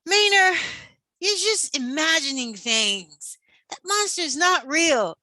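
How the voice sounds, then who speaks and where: Woman voicing confident, bright young race car. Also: high voice, lively intonation, slow pace, dry recording, pitched above the performer's own voice, exaggerated voice, rough recording. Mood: reassuring. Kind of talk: children's storybook character voice.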